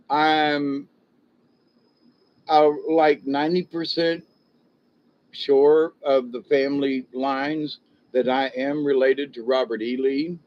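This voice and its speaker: A man's voice: mid-range voice, darkish tone. An older man, born in Ohio and raised in the South, speaks with a slight Southern drawl. He hosts a rambling, folksy livestream chat, chuckling at his own jokes and talking back to viewers. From born in Ohio, raised in Florida and South Georgia. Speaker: livestream host chatting with viewers. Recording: dull lo-fi audio.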